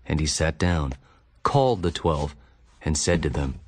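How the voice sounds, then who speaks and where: A man narrating a dramatized audio Bible as a solemn, measured storyteller. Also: very deep voice, animated, exaggerated voice. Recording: music bed behind narration.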